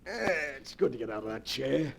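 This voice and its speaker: A man's voice: medium pitch, very animated, brisk, vocal fry. An actor playing an arrogant, menacing gangster boss in 1940s radio crime melodrama, with tough-guy slang and a commanding manner. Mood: smug, menacing. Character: arrogant, menacing male gangster boasting he cannot be killed. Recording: noisy vintage 1940s radio recording.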